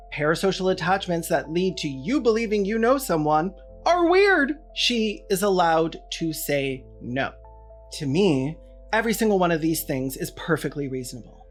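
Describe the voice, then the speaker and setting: A video essayist delivering scripted commentary in a blunt, sardonic way, with casual swearing. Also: medium pitch.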